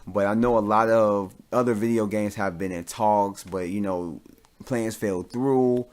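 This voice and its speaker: A man with a fairly low voice talks as a podcast host. He rambles through his opinions casually, thinking aloud in loose, informal language with the odd bit of swearing. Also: fairly even intonation, unhurried, darkish tone.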